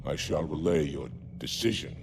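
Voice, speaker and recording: Dutiful alien soldier, low gravelly voice, grave, formal, video game cinematic. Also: male voice, moderate pace, background noise. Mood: grave, indignant. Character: proud, dutiful, stern male alien warrior.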